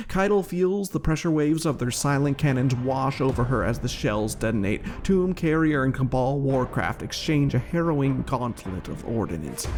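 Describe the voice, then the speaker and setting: A man reading a scripted story in a theatrical, measured narrator's style. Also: medium pitch, darkish tone, slight background noise. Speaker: game lore reader and streamer.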